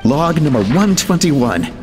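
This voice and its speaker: Casting: obsessive male inventor reading out logs, mid-pitched, lively and earnest, long reverb. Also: takes it slowly, soft background music. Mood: satisfied. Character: driven, ambitious male inventor dictating research logs. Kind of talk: video game voice acting, dramatic log narration. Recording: long reverb, noisy rumble behind.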